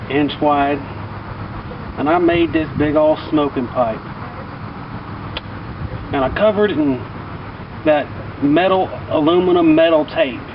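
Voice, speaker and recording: Male storyteller, colloquial and rambling, in a dull, distant recording swamped by heavy ambient noise. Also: fairly high pitch. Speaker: vlogger telling personal stories. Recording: distant mic, muffled, loud outdoor traffic-like noise.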